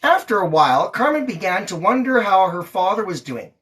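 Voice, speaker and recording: A woman's voice: mid-range voice, very animated, a little fast, a bit bright. A woman reading a storybook aloud to a child, acting out the lines expressively. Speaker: mother reading to her daughter on a livestream.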